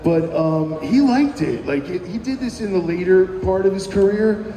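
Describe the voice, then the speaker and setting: A man's voice: high voice, lively intonation, normal speed, mellow. A man guesting on a radio show, chatting casually and rambling with many ums and restarts. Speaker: musician guest. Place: live broadcast at a venue. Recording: roomy live venue audio from far off.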